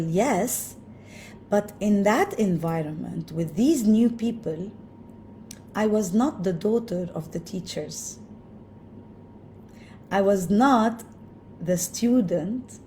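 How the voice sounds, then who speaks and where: A woman from Lebanon tells a personal story slowly and thoughtfully, often stopping on fillers. Her voice is warm and mellow, at a middle pitch, with a faint hum of ambient noise behind her. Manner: thoughtful, with frequent pauses and fillers. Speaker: speaker sharing personal story.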